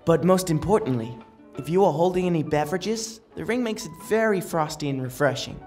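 Woman acting a low-voiced knowledgeable fantasy guide. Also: expressive, a little fast, darkish tone, soft background music. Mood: matter-of-fact. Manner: matter-of-fact, explanatory. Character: brisk, knowledgeable female guide explaining magic items. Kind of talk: comic dub acting.

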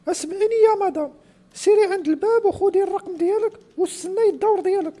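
A man's voice: extremely high pitch, racing, warm tone. A man voicing a curt, bossy young female office clerk in a comedy cartoon, with a northern Moroccan accent. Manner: curt, dismissive.

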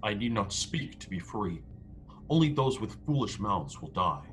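Man playing proud, defiant low-voiced starship crewman. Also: very animated, normal speed. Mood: scornful, stubborn. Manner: declamatory, speaks of himself in third person. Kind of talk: radio play acting over video call. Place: indoor room at home. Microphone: headset on a video call. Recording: background noise on video call.

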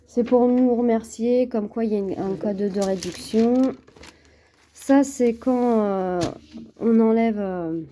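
A woman with a head cold talks slowly and hesitantly, with many fillers, as she unboxes a craft kit at her table. Her voice is very dark and mellow. A faint hiss of noise sits under the recording. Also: a little high. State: has a cold. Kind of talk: casual unboxing chat to camera. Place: at home, at a table.

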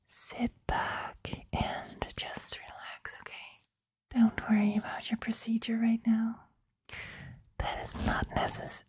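A woman in her twenties doing a whispered ASMR roleplay, speaking close to the mic in a breathy, gentle, reassuring way. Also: a little high. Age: 20s. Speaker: ASMR roleplayer playing a surgeon. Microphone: close mic, speaking through a surgical mask.

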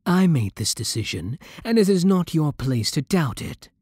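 A man with a British accent playing a possessive, seductive vampire king in an intimate ASMR roleplay, commanding and formal, with a soft, husky voice. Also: a little high, very animated, no echo, clean studio sound. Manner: formal, slow, teasing, imperious. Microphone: close, dry microphone.

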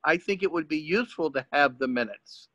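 Man, slightly high pitch, sharp bright tone, dry dull call audio, deliberate. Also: lively intonation, no echo. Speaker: club member. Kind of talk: casual meeting discussion. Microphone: video call.

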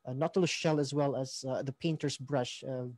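A man with a Filipino accent hosting a live program informally, halting as he searches for words. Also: mid-range voice, fairly even intonation, a little mellow, dry recording. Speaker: host.

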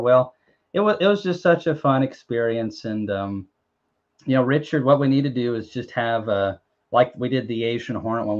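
A young man hosting a relaxed live chat over a video call, rambling along with plenty of fillers, self-corrections and jokes. His voice sits at an ordinary middle pitch for a man. The stream audio is dull and lo-fi, with a muffled top end. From Tennessee, USA. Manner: rambling, joking, many fillers and restarts. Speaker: host. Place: small home room, indoors.

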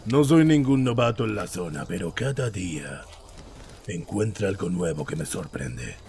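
A man voicing a gruff, sardonic stalker in a Spanish video game dub, needling his companions. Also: sits low, expressive, normal speed, a little mellow, slight background noise. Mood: pensive. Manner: sarcastic, needling. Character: gruff, teasing male stalker at a campfire, sardonic.

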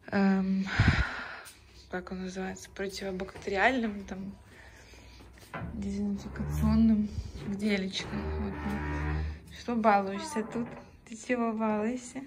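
A woman with a mid-pitched voice chats casually as if showing someone around. She is unscripted and searches for her words. The recording carries faint noise and small clicks. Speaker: mother showing facilities in a vlog. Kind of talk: casual vlog chat.

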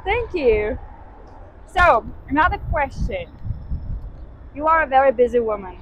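Young woman host, slightly high voice, friendly, faint outdoor noise. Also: expressive, a bit bright, muffled recording. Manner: friendly, quick to agree and laugh. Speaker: host and interviewer. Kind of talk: casual walking interview. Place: city campus walkway outdoors, trees.

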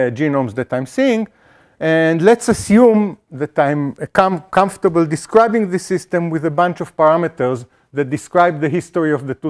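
Halting man lecturing with many fillers. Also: on the high side, a little mellow. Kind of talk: academic lecture on statistics. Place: lecture auditorium.

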